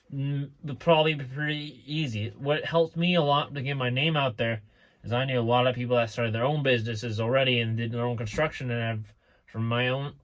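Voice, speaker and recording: A man's voice: mid-range voice, fairly bright tone. Young guy, twenties, chatty vlog delivery, loose and rambling, outdoor camera mic. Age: 20s. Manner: rambling, many restarts and fillers. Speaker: small trucking business owner. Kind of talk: casual talk to camera. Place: outdoors beside his truck.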